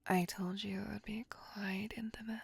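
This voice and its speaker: A woman's voice: medium pitch, level tone, vocal fry, slightly rough, no room sound. A woman playing a seductive, possessive vampire in an intimate ASMR roleplay, whispering breathily with formal, courtly tenderness. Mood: doting, soothing. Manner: slow, intimate, formal, coaxing endearments.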